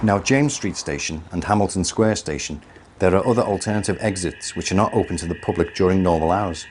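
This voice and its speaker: A man playing an experienced station supervisor, calmly and methodically instructing a new recruit in a scripted training film, recorded over heavy noise. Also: low-pitched, brisk, lightly acted. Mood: instructive. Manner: methodical, checks understanding.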